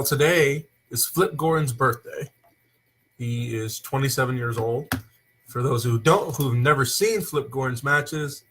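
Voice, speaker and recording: A young man hosting a casual wrestling talk show, opening with loud hype and then rambling with fillers. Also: medium pitch, slight background noise. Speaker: host. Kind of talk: casual wrestling podcast chat.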